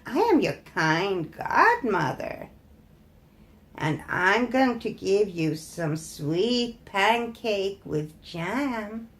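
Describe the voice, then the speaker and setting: Storybook villain: wicked old witch, played by a woman, slow, mock-sweet, menacing, gloating. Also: medium pitch, sing-song. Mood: falsely sweet. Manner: mocking, slow drawn-out coaxing.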